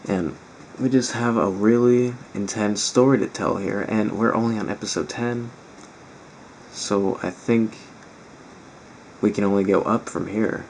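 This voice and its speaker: Raspy man with creaky low-ish voice. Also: a little slow, long pauses, a little mellow. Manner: rambling, informal. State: strained, raspy voice. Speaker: reaction video host. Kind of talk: casual chat to viewers. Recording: faint background noise.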